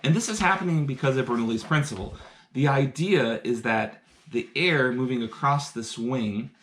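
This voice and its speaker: A man's voice: mid-range voice, moderate pace, a bit bright, slight background noise. A man teaching science in a live-streamed lesson from home, talking chattily and eagerly as he works through hands-on experiments. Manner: enthusiastic, chatty, explains step by step while doing experiments. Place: home, indoors.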